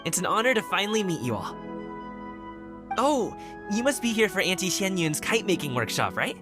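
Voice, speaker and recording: A woman's voice: medium pitch, very animated, brisk, music in the background, close to an everyday voice. Woman playing a friendly, sheepish young guard.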